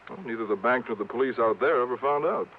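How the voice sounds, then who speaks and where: An actor playing a genial, slightly fussy insurance man in a radio drama, measured and chatty, with an American accent. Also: medium pitch, close to an everyday voice. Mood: genial, informative. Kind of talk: 1950s radio drama acting. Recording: tinny old radio recording, faint noise.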